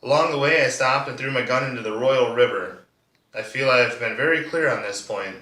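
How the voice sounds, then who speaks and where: A man playing a soft-spoken, bitter defendant giving measured, restrained testimony in a courtroom monologue. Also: medium pitch, lightly acted. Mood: firm, measured. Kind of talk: monologue performance of a courtroom drama. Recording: roomy echo, distant microphone.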